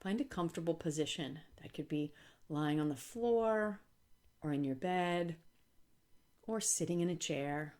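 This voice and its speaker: A woman leading a guided relaxation as a yoga instructor, speaking calmly and gently with deliberate pauses. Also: low voice.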